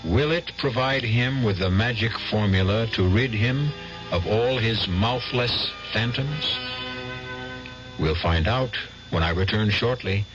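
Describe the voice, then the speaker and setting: A man hosting a radio mystery drama with measured, suspenseful scripted narration. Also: lowish voice. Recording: dull old radio broadcast, music behind.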